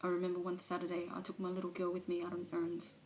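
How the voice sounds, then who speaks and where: A woman's voice: lowish voice, monotone, very fast, a little hiss or hum, lightly acted. A woman performing a monologue as a remorseful recovering alcoholic mother, speaking confessionally and sometimes dropping to a whisper, recorded on a phone camera. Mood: ashamed.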